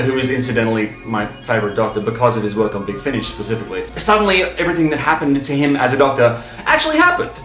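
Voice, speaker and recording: A man hosting a fan video, talking in an enthusiastic, informal, emphatic way, stopping and starting with pauses for breath. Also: medium pitch, fairly bright tone, echoey room. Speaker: video host and fan reviewer.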